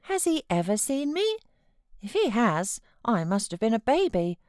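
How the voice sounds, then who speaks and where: A woman's voice: fairly high pitch, sing-song, unhurried, a little mellow, no echo, studio quality, voice pushed up. A woman voicing a frail, timid young boy in an audiobook, fretful and plaintive. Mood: puzzled, plaintive. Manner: plaintive questioning. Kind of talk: audiobook character voice.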